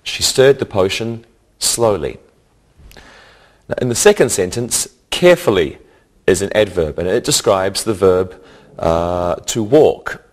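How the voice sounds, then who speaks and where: Slow, low-pitched male English teacher. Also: lively intonation. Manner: deliberate, instructional enunciation. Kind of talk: scripted grammar lesson narration.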